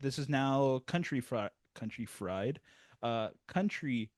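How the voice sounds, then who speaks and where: A man's voice: medium pitch, animated, unhurried, darkish tone, no room sound. A man hosting a music review interview, talking in a rambling, self-deprecating way with many restarts. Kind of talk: casual interview chat. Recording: dry, close sound.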